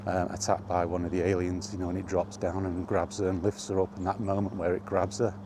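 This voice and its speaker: A man's voice: low voice, little pitch movement, a little fast, noisy background. A middle-aged man rambling casually in a personal gaming vlog, reminiscing in an informal way. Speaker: gaming vlogger. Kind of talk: casual rambling chat about retro gaming.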